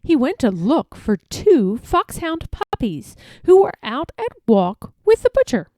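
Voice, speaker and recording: A woman narrating a children's story aloud as an expressive storyteller, reading from scripted text. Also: high voice, a little slow, no echo.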